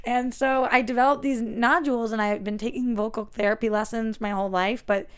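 A woman with a raspy voice, chatting casually as a podcast guest and poking fun at herself. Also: fairly high pitch, steady tone, brisk. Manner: self-mocking, chatty. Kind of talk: casual chat.

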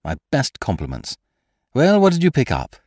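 A man voicing a triumphant, mocking gentleman thief in an audiobook, theatrical and commanding, firing off questions and taunts. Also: mid-range voice, wildly swooping pitch, moderate pace, no room sound, clean studio sound, pitched above the performer's own voice. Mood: brisk, scheming. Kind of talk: dramatised audiobook character voice.

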